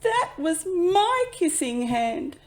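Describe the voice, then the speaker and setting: Woman voicing a plaintive, high-pitched little boy raccoon. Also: very animated, slow, heavily acted. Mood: hurt, pleading. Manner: pleading, plaintive. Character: small sad young boy raccoon, jealous and worried.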